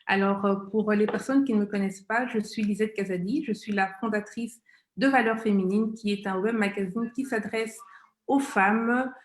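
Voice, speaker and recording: A woman hosting a live interview show, speaking in halting, repetitive phrases. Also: medium pitch, steady tone. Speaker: webmagazine founder and show host. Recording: roomy, echoing live-stream sound.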